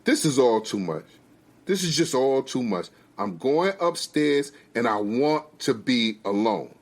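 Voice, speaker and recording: A man voicing an angry, fed-up wife and mother with a sharp tongue and a scolding, emphatic delivery. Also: mid-range voice, big pitch swings, a little slow. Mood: overwhelmed.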